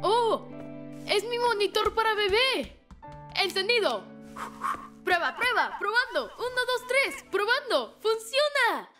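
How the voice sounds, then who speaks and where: A woman voicing a cocky, excitable young schoolboy in an animated cartoon dub, eager and emphatic. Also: very high-pitched, takes it slowly, faint music behind, full character voice. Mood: excited.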